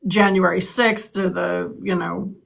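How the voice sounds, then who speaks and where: A woman's voice: on the low side, fairly even intonation, measured pace, vocal fry. A woman in her sixties from California, answering interview questions earnestly, with frequent ums and restarts. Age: 60s. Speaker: political candidate.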